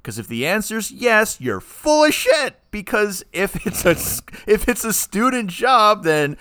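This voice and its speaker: A male podcast co-host with a bright, clear voice that swings a lot in pitch. He talks in a casual, animated way and builds into rants, recorded dry and close to the mic. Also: measured pace, no echo. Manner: animated, ranting. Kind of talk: casual chat.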